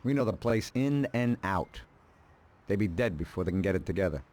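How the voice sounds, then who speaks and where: A man playing a calm, calculating middle-aged mob advisor in a video game, speaking in a dry, deliberate, matter-of-fact way. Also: deep voice. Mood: coolly ruthless. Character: calm, calculating male mafia consigliere, drily ruthless.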